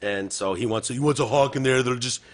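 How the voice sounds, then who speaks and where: A man's voice: mid-range voice, fairly quick. A man co-hosting a podcast, chatting casually about politics, swearing freely and slipping into mocking impressions. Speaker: podcast co-host.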